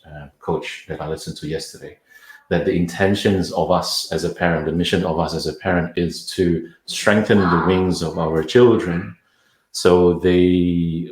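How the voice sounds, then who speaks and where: A man's voice: low-pitched, darkish tone, echoey room. A man from Indonesia, a parenting coach, talking thoughtfully in a podcast interview with many restarts.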